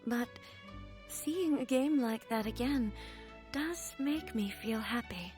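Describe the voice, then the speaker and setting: A woman playing a dignified, composed female ruler in a video game, speaking formally and politely with measured phrasing. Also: on the high side, a little mellow. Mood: nostalgic. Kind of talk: video game cutscene acting. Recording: music behind the voice.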